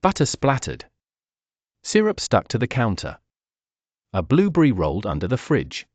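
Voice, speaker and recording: A man's voice: medium pitch, huge pitch swings, moderate pace, dry recording. A man narrating a scripted children's story as a read-aloud.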